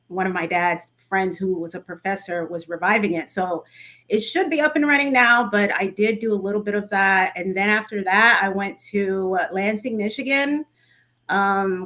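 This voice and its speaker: Woman guest from Cameroon, thirties, mid-pitched, casual interview speech full of ums. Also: a bit bright. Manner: conversational, with many ums and self-corrections. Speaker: lawyer guest. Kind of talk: interview answers. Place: studio.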